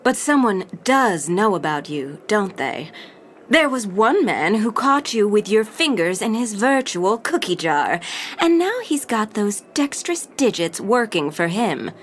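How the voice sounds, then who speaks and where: A woman's voice: mid-range voice, sing-song, a little slow. An actress playing a self-assured, theatrical female crime gang leader with a knowing way of talking in an audio drama.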